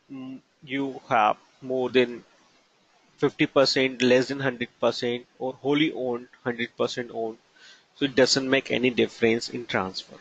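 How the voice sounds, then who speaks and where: A man with an Indian accent teaching as a trainer, explaining examples haltingly in short, broken phrases. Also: medium pitch, a bit bright, dry recording. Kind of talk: instructional lecture with examples. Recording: dull lo-fi narration, dry.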